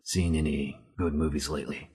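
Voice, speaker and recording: A man doing a deadpan, gruff vampire hunter. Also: a bass voice, normal speed, voice pushed down, full character voice.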